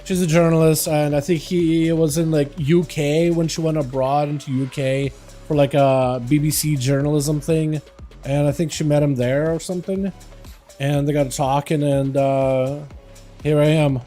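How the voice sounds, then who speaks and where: A man's voice: a little high, flat delivery, normal speed, mellow, faint music behind. A young man with a slight Russian accent, a streamer chatting casually while gaming, rambling and filling his talk with "like". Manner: rambling, chatty, answers chat questions, frequent "like" and laughs.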